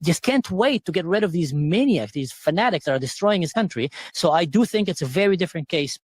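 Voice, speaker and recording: A man who is a father, arguing a point forcefully on a talk panel. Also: high voice, lively intonation, a little fast, no echo. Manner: forceful, argumentative.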